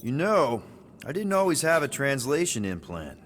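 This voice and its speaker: Casual, rambling space crew leader. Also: male voice, medium pitch, very animated, normal speed, a bit bright, a little hiss or hum. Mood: sincere, friendly. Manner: casual, rambling, boyish slang. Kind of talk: video game voice acting.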